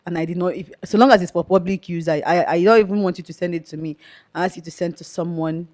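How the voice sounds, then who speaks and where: A young woman with a Nigerian accent speaking earnestly as an interview guest, with a loud, outspoken way of talking. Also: fairly low pitch, steady tone, quick pace. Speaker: guest, activist. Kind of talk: conversational interview.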